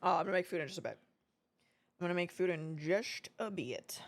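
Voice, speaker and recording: Casting: woman, English not her first language, low voice with a very lively swing, chatty streamer who curses and laughs easily. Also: fairly quick. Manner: chatty, swears freely, laughs often. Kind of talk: casual chat with stream viewers.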